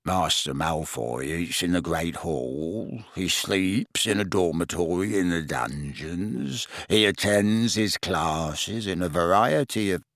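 A man voicing a croaking, resentful old male house elf who speaks slowly and grudgingly. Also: fairly bright tone, no room sound, clean studio sound, heavily acted. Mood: sullen. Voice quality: croaky, low. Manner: slow, grudging, sullen.

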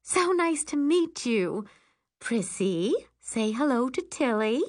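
A woman's voice: on the high side, big pitch swings, slow pace, no room sound, studio quality, pitched above the performer's own voice. A woman voicing an eager mother coaxing a small child in an audiobook. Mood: excited. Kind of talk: audiobook character voice.

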